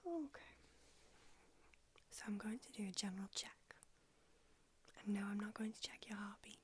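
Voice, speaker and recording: A woman's voice: mid-range voice, normal speed, slight background noise, close to an everyday voice. A woman whispering in an ASMR roleplay, voicing a brusque, haughty old female vampire doctor with a dry manner. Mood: whispery, brusque.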